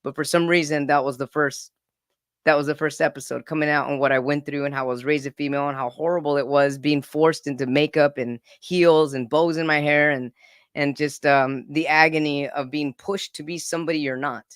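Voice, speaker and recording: A man's voice: a little high, steady tone, a little fast, fairly bright tone, clear, resonant tone, no echo, studio quality. A man in his forties telling his own story as a podcast guest, earnest and often repeating words as he starts a sentence. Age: 40s. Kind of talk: casual personal storytelling.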